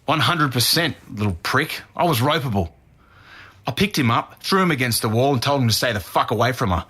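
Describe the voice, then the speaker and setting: A man's voice: mid-range voice, lively intonation, fairly quick, sharp tone, husky. A man acting as a defensive Australian murder suspect in his twenties, speaking earnestly in blunt slang in an interview-style audio drama. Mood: indignant, scornful. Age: 20s.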